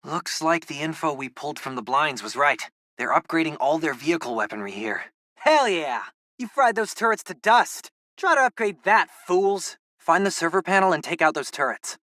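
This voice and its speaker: A man's voice: on the high side, lively intonation, moderate pace, bright, forceful tone, no echo, studio quality. A young man playing a geeky, upbeat teenage boy in a video game, a loyal best friend with a casual, wry, chatty way of talking. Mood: excited. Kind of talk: video game companion dialogue.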